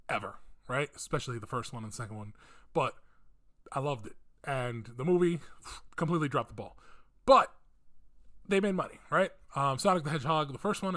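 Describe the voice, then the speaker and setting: A man's voice: medium pitch, a bit bright. Rambling man hosting a casual rant. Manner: rambling, conversational, thinking aloud, emphatic. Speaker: video host giving opinions. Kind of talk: casual rant commentary on video game films.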